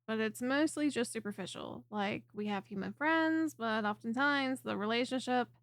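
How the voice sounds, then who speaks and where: High-voiced, clingy lamia chiropractor played by a woman. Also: normal speed, no echo, studio quality. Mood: wry, candid. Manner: soft, coaxing, teasing, attentive. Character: friendly, clingy female lamia chiropractor, coiled snake monster girl. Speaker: voice performer. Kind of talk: ASMR roleplay, spoken to the listener.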